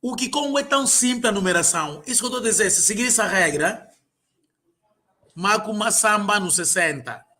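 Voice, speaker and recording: A man's voice: high-pitched, animated, a little fast, pushed and bright. A man tutoring a student in an informal lesson, patiently repeating and drilling words. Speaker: language tutor.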